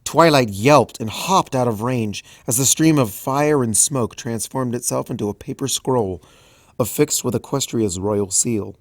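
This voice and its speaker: A narrator reading a comic fan story aloud, with expressive storytelling, recorded at home on a close mic. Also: medium pitch, unhurried, no echo. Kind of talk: audiobook fanfic reading.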